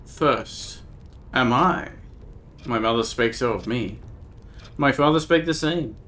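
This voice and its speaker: A man's voice: mid-range voice, takes it slowly, a bit bright. A man reading as a grave, uncanny northern woman. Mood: defiant. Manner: old-fashioned thee-and-thou speech, grave and ominous. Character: cryptic archaic-speaking woman of the north, fierce, uncanny. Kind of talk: reading a text game aloud on a live stream.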